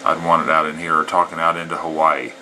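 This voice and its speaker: A man's voice: very deep voice, level tone, quick pace, background noise. An American man with a folksy, plain-spoken way, talking casually as a CB radio hobbyist. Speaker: amateur CB radio operator. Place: indoors at a home radio setup.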